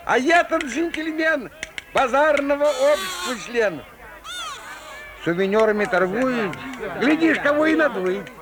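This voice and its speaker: High-voiced folksy storyteller. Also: male voice, expressive, a little slow, background noise. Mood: sly. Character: sly folksy male fairy-tale storyteller. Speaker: narrator. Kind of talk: rhyming theatrical folk-tale narration.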